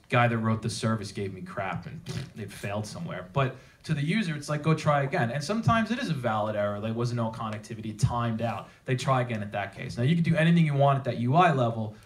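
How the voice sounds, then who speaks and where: Man giving an informal, emphatic tech talk. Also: on the low side. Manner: emphatic, rhetorical questions, informal. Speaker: conference speaker. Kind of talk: technical presentation to a live audience. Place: theater at a developer meetup.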